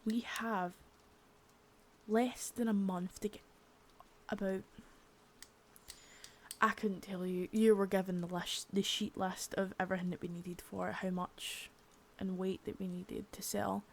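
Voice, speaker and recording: A woman's voice: mid-range voice, level tone, measured pace, slight background noise, lightly acted. Woman playing a menacing female crime boss. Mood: cold contempt. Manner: menacing, swearing, soft with bits of whisper. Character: cold, contemptuous female boss of a drug ring. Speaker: ASMR creator. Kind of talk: unscripted ASMR roleplay.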